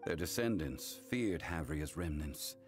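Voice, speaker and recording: A man playing a calm, grave consultant in a video game, speaking formally and with solemn measure. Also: rumbling low, unhurried, faint music behind. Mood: somber, measured. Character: calm, grave, worldly male consultant recounting hard truths. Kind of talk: English video game voice acting.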